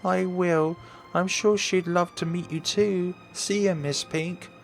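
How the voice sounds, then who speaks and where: A man's voice: high-pitched, steady tone, takes it slowly, creaky, pitched above the performer's own voice, heavily acted. Chatty, cheerful little girl for a visual novel, acted by a man in a raised voice, music behind. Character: cheerful, chatty young schoolgirl with an imaginary-friend air.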